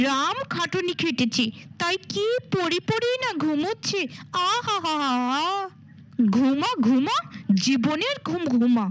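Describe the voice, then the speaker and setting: A woman's voice: high-pitched, slight background noise. A woman voicing a scheming stepmother in a cartoon fairy tale, with a theatrical manner. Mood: gloating, spiteful. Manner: theatrical, coaxing and cajoling. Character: scheming, cruel stepmother feigning sugary motherly love.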